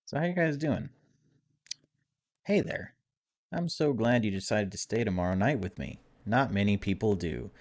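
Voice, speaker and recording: Low-pitched man doing casual game commentary. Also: lively intonation, normal speed, long pauses, a little mellow. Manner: informal, chatty, wry asides at the game. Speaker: video game streamer. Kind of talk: casual let's-play commentary mixed with reading game text aloud.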